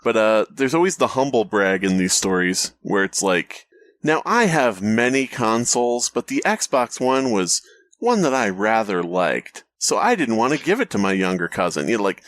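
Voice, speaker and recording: A man's voice: on the low side, big pitch swings, moderate pace, a bit bright, no room sound. A man co-hosting a casual comedy podcast with a sardonic, profane way of talking. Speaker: podcast co-host.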